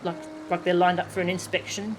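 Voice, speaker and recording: A woman's voice: fairly low pitch, little pitch movement, a little fast, a little hiss or hum. A woman streaming a video game with casual, chatty commentary. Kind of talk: casual game commentary, reading dialogue aloud. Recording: game music behind the voice. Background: video game music.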